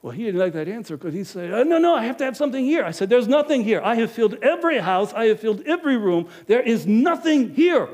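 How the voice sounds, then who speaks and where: A man acting out a middle-aged innkeeper in a dramatic first-person monologue, emphatic and animated, swinging between loud and hushed. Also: sits high, racing, hardly any pauses. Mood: insistent. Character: middle-aged male innkeeper, money-minded and guilt-ridden. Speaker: actor.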